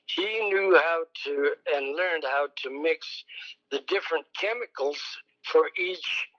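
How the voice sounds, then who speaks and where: An older man, a songwriter, recalling his past slowly in an interview, with many pauses and a fairly high voice. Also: fairly bright tone, highs cut off. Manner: slow, rambling, many ums and pauses. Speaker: songwriter guest. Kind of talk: reflective oral history interview.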